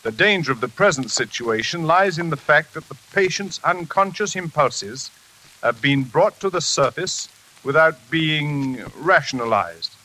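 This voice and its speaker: A man playing a composed, authoritative psychiatrist in a formal, measured 1940s radio style. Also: on the high side, expressive, sharp tone, poor audio. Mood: clinical. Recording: faintly hissy old radio recording.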